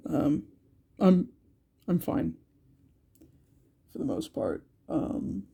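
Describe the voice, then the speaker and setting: Young man narrating an art vlog while stuffed up from a cold; slow, gravelly, mellow delivery, halting and unsure. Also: fairly high pitch, sing-song. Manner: hesitant, many ums and repeated words. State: congested from a cold. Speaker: art channel creator.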